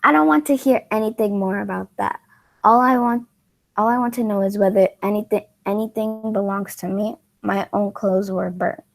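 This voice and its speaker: A woman playing a wounded, proud young former flower girl in an amateur play reading, with a halting delivery that stumbles and repeats. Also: medium pitch, steady tone, creaky, natural voice. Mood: hurt, insistent.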